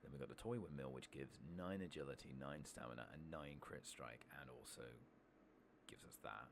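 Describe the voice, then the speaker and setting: Guy doing quiet asmr game commentary, croaky and whispery after a cold, unhurried, audible hiss w keyboard clicks behind him. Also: a bass voice. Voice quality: soft, breathy, often dropping to a whisper. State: recovering from a cough and cold. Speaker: host of a gameplay channel.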